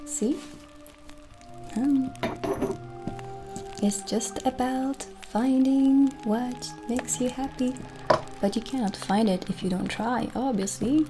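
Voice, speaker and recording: A woman in an ASMR roleplay playing a gentle, friendly witch who keeps a potion shop, speaking softly and soothingly without hurry. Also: a little high, lightly acted. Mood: reflective. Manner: soft, unhurried, soothing. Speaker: ASMR performer. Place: small dim candlelit room, indoors. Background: soft music.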